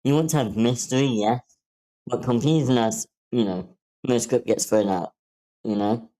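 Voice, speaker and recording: Dark-toned man, blunt and deliberate. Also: mid-range voice, poor audio. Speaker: table-read participant giving script feedback. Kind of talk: frank spoken critique. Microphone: online meeting call.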